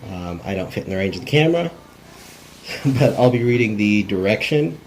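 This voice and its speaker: Man, lowish pitch, scripted narration, stage directions, table read, amateur recording, light background noise. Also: lively intonation, normal speed, darkish tone, rough recording. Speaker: screenwriter reading stage directions.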